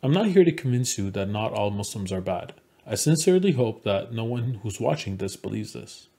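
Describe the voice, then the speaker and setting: A man in his forties from Montreal gives a prepared conference presentation in a measured, earnest storytelling manner. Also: fairly low pitch, very animated, vocal fry, dark tone. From Montreal, Canada. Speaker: conference speaker, game developer. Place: small indoor room at home.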